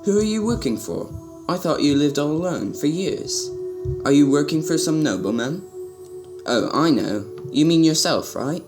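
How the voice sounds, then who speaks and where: Man acting a lively, higher-voiced young prince. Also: normal speed, over music. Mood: curious. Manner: polite, puzzled, slightly formal. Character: spoiled, naive, questioning young prince. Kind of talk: amateur radio drama acting.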